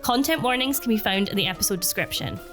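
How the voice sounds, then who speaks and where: A woman's voice: medium pitch, animated, quick pace, fairly bright tone, soft background music. A woman co-hosting a TV recap podcast, chatty and giggly in casual talk. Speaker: podcast co-host.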